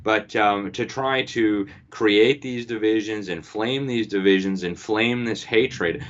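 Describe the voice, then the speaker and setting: A man's voice: lowish voice, slow pace, highs cut off. A man hosting an interview, asking conversational, leading questions of his guest over a remote call. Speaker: interviewer host.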